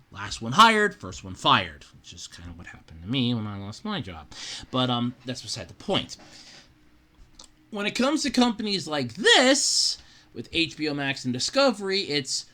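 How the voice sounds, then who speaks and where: A man's voice: on the high side, sing-song, normal speed, sharp tone. A man hosting a podcast, giving casual, blunt solo commentary in a conversational way and slipping into imagined dialogue. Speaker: podcast host.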